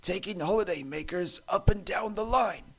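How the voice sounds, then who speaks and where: A man's voice: high voice, normal speed, a bit bright, husky, pitched above the performer's own voice, exaggerated voice. A man voicing a weary, easily shocked old steam engine in a children's railway story. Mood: weary, put-upon.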